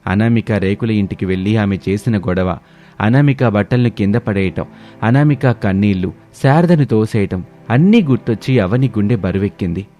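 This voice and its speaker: A man's voice: fairly low pitch, normal speed, mellow, soft background music. A man reading a scripted family story as a dramatic narrator.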